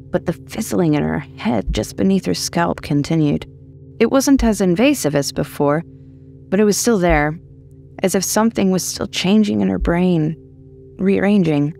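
Low creaky woman narrating a spooky short story, music underneath. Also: darkish tone.

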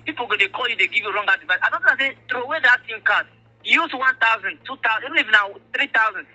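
Bright, high-voiced Nigerian man, pleading. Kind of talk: earnest phone conversation in mixed English and Pidgin. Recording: phone call with music behind.

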